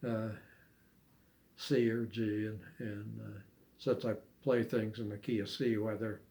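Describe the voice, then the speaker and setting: An older man chatting casually as an interviewee, recalling things in a halting, hesitant way with long pauses. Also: lowish voice, level tone, dark tone. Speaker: amateur harmonica player being interviewed. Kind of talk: casual reminiscing chat.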